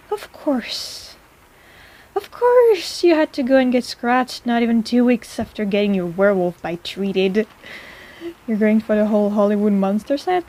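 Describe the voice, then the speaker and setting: Woman acting a high-voiced, teasing apothecary. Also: darkish tone. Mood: exasperated, scolding. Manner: playful, sardonic, chiding. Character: teasing, sarcastic but caring one-armed woman apothecary. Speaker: audio roleplay voice actor. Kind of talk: intimate personal-attention audio roleplay.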